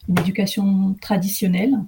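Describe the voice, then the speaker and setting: A woman interview guest from northern France, speaking conversationally and haltingly, often repeating words as she goes. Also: medium pitch, little pitch movement, warm tone, a little hiss or hum. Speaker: podcast guest, recruitment executive.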